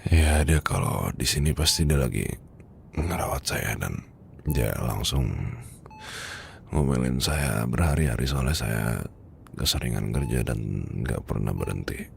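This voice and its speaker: A man playing a weary, heartbroken boss confiding from his sickbed in an ASMR roleplay, with an Indonesian accent and a hesitant, breathy, murmuring delivery. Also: very deep voice, animated, slight background noise, exaggerated voice. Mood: weary, confused. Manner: hesitant, murmuring, halting, sighing.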